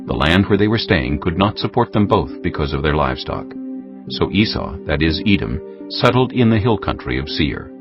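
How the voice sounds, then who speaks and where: Male narrator, deep register, measured scripture reading, animated melody, slightly dull recording, clear musical backing. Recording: dull, muffled audio under music.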